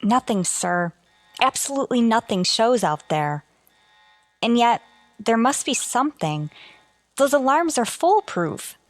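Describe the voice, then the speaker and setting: An actress playing a dutiful, level-headed starship officer in a sci-fi radio drama, reporting formally and earnestly. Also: female voice, fairly high pitch, sing-song, a little slow, long pauses, dry recording, soft background music. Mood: alarmed. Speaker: actor. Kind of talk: golden age sci-fi radio drama acting.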